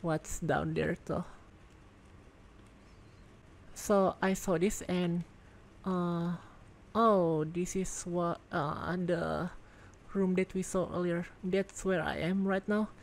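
Congested woman narrating a game, low-pitched. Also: slow, creaky, slight background noise. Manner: excitable, exclaims in surprise, thinks aloud. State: congested, losing her voice. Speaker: game video reviewer. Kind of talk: casual gameplay commentary.

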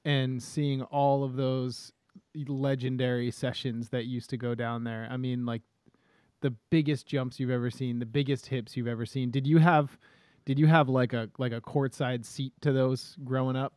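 A man in his thirties hosting a casual podcast at a desk mic, animated and enthusiastic, with casual swearing. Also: medium pitch, moderate pace, smooth, no room sound, clean studio sound. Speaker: podcast host. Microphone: studio microphone at a desk.

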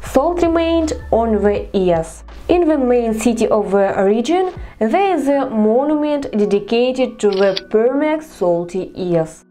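A young woman from Russia with a Russian accent, a native Russian speaker narrating her vlog in careful, deliberate English. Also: on the high side, mellow. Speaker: vlogger. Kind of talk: scripted narration to camera.

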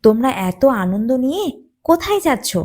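A woman's voice: high voice, big pitch swings, dark tone. A woman voicing a male duck in a children's story, speaking in an earnest manner. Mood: delighted. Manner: slow, solemn scolding. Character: grave male duck, friendly then reproachful.

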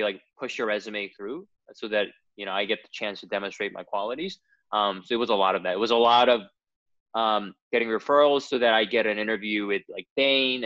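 Thirty-year-old man, lowish voice, hesitant with fillers, muffled dry recording. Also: a bit bright, dry recording. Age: 30s. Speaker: startup co-founder. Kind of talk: casual interview answers.

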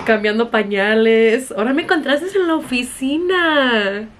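A woman's voice: fairly high pitch, lively intonation, moderate pace, a crowd in the background. A woman in her thirties chatting casually on a call, joking and informal, switching between two languages. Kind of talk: casual phone chat mixing Spanish and English. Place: indoor office.

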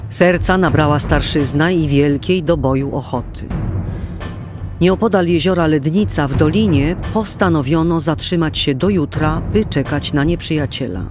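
A male narrator tells a historical tale in scripted storybook style. His voice sits a little high for a man. Clear music with drums plays behind him. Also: moderate pace. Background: prominent music with drums.

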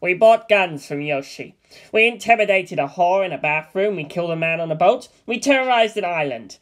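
A man reading a comedy screenplay aloud in a flat, deadpan monotone, announcing scene headings and character names. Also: high-pitched, a little fast. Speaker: reader of a comedy script.

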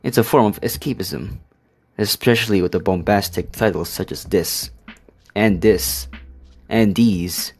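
Man, low pitch, scripted comedic narration, background music. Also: a little mellow. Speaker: video essay narrator. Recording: voice-over with music behind.

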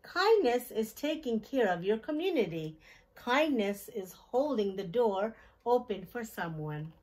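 Slow-paced woman reading to young children. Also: on the high side, very animated, a little mellow. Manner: deliberate, simple child-directed phrasing with questions. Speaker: children's storytime host and reader. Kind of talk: picture-book read-aloud for young children. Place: small decorated indoor room.

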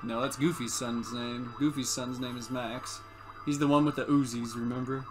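A woman's voice: rumbling low, steady tone, moderate pace, music in the background. A woman hosting a casual let's play, joking crudely and swearing freely as she chats. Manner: crude, foul-mouthed, joking. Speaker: gaming video host.

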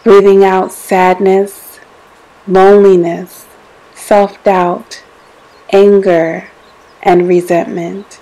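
Slow, mellow woman guiding a meditation. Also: medium pitch, flat delivery, poor audio. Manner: gentle, soothing, deliberate instruction with pauses. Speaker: meditation guide. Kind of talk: guided meditation, scripted narration.

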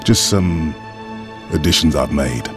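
A big veteran soldier from a game cutscene, with a deep voice. He is gruff yet loyal and keeps his words plain and short, with music behind him. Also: lively intonation, slow pace, full character voice. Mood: deferential, hesitant. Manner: plain-spoken, terse, understated. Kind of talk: video game cutscene acting.

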